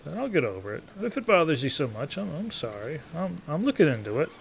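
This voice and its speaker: Higher-voiced man rambling over background noise. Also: sing-song, gravelly. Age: early 30s. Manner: informal, halting, profane, self-deprecating. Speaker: gaming video creator. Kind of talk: casual rambling live gameplay commentary.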